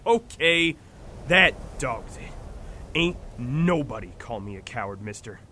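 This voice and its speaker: A man plays a hot-headed, defiant young hunter in a comic-book audio drama. His voice is sharp, highish and swings widely, full of brash Western slang, over faint noise. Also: sing-song, slow, heavily acted. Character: proud, hot-tempered young Apache hunter, defiant and scornful.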